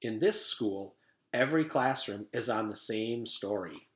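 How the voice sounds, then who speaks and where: A man's voice: lowish voice, lively intonation, a little slow. A man voicing a jolly mustached yard teacher telling a story to a class. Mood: storytelling. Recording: echoey room.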